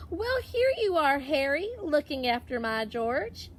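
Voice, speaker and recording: Woman putting on a high-pitched, fond old wife. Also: big pitch swings, unhurried, slight background noise. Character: affectionate ninety-year-old wife in a picture book.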